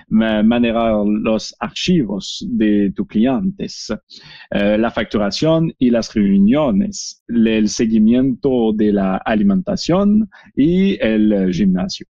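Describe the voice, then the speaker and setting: A French Canadian man speaking Spanish as a second language, with a Québécois French accent, presenting a software webinar in a halting way with many fillers. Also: fairly low pitch, no echo, highs cut off. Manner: halting, frequent "eh" fillers and restarts. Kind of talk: product demo webinar.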